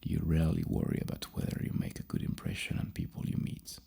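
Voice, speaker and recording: A man speaking softly and breathily as an ASMR psychologist, asking questions in a halting, musing way. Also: sing-song, slight background noise. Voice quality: soft, breathy, gravelly low murmur. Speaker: ASMR roleplay host playing a psychologist. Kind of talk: soft-spoken ASMR questioning.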